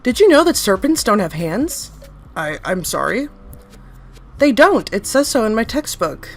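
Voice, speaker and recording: Woman voicing a strange male creature, odd and flat, zealous, threatening, over faint music. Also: medium pitch, unhurried. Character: eerie male creation of a strange god, childlike then fanatical.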